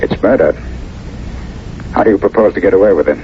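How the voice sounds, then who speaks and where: An actor in a 1970s radio drama playing a troubled, haunted war veteran, wary, defiant and halting. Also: male voice, low voice, natural voice. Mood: defiant, shaken. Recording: dull, hissy old radio recording.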